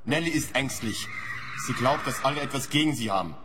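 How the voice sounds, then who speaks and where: A man's mid-pitched voice rings in long reverb over faint noise. An actor plays a hounded radio-play character, defensive, irritated and insistent. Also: expressive, a little fast, lightly acted. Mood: indignant. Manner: indignant, guarded, insistent.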